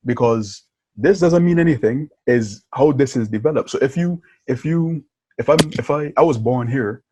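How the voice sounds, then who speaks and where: A man's voice: mid-range voice, normal speed, mellow, husky, no room sound. A man who grew up in Barbados, hosting a podcast in a casual conversation, telling stories animatedly and often checking in with "yeah?" and "right?". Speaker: podcast host.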